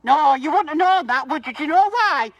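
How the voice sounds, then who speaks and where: A woman's voice: high-pitched, expressive, fairly quick, muffled recording. A woman speaks as a street protester. Her delivery is confrontational and accusatory, aimed at anyone within earshot. The voice is amplified by a megaphone, with a dry, echo-free sound outdoors. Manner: confrontational, accusatory, addressing bystanders. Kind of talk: loud public protest speech in the street.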